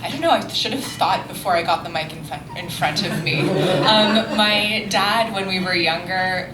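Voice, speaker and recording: Candid lower-voiced woman, echoey hall. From Toronto, Canada. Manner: candid, lightly flustered. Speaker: stage director. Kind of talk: panel introduction. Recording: echoey hall with room noise.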